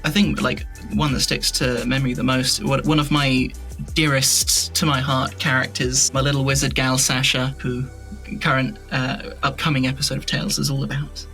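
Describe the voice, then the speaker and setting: A man's voice: medium pitch, little pitch movement, moderate pace, pushed and bright, soft background music, low-quality recording. A man speaking as a podcast guest in casual interview chat about his creative work, reflective and informal, often rephrasing mid-thought. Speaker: podcast guest, fantasy audio drama creator.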